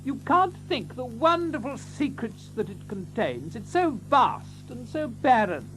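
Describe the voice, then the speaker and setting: Radio play casting: effusive, fussy countryside naturalist, very high excitable voice, over faint hum. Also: male voice, measured pace, full character voice, rough recording. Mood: eager, fascinated. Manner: effusive, fussy, repeating himself. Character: eager, excitable male naturalist, chatty moorland neighbour. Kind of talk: radio drama acting.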